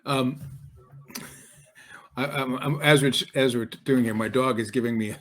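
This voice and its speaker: Man hosting, medium pitch, halting. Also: steady tone. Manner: halting, rambling. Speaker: interview host. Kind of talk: casual interview chat.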